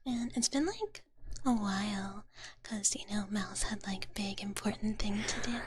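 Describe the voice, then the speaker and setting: A woman's voice: mid-range voice, measured pace, no echo. Woman as a whispering, cutesy dragon big sister. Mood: soft, chatty. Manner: cutesy, speaks of herself in third person, filler-heavy. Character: cute, pampering dragon big-sister girl, gentle and bubbly. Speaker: ASMR live streamer. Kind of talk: whispered live ASMR, soothing chat with viewers. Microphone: close ASMR microphone. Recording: clearly noisy close ASMR recording, clicks.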